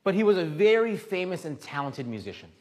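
Canadian guy preaching in a church hall, lively up-and-down voice, halting bits for translation, long reverb. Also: sits high. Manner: halting, stops often for interpretation. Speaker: guest preacher. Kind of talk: sermon with live interpreter. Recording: echoey hall.

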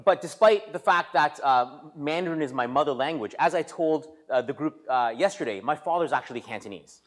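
A man preaching as a guest speaker, pausing for an interpreter, with stop-start phrasing, repeated words and light self-deprecating humor, in a reverberant church. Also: on the high side, expressive. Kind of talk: sermon delivered through an interpreter. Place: church sanctuary.

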